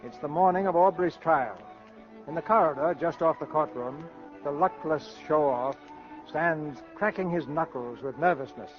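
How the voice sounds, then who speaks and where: Casting: male vintage radio announcer, slightly high voice, dramatic narration over clear music. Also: moderate pace. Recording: dull old radio broadcast.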